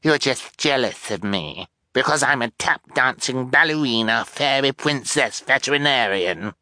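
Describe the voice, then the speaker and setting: A man's voice: mid-range voice, lively intonation, unhurried, sharp tone, dry recording, voice pushed up. A man voicing a rude, spoiled little girl in an audiobook, conceited and scornful. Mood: scornful, bratty. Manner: scornful, sneering.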